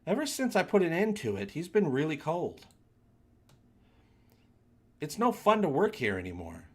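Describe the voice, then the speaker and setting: A man's voice: a little high, wildly swooping pitch, moderate pace, pitched above the performer's own voice. A man playing a troubled female starship first officer in a sci-fi comic read-along, earnest and direct.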